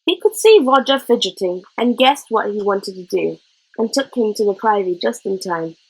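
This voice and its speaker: A woman reading a children's story aloud in an expressive narrating style. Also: mid-range voice, normal speed, breathy edge, rough recording. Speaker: storybook reader.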